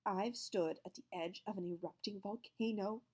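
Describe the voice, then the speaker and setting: A woman voicing an excitable male schoolteacher who stammers and squeaks when frightened. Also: normal speed. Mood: frantic whisper.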